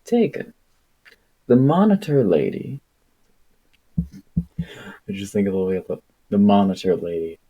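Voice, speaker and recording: A man narrates a book in a low, very dark voice with heavy gravel in it. He reads slowly and slips in wry, irreverent asides of his own. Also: very animated, highs cut off. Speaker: amateur book reader. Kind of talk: story reading with joking asides.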